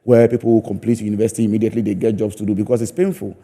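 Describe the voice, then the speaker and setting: Male comedian guest, Ghanaian accent, lowish pitch, quick emphatic delivery with repeated phrases. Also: little pitch movement, dark tone. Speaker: comedian and actor, interview guest. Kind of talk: conversational TV interview.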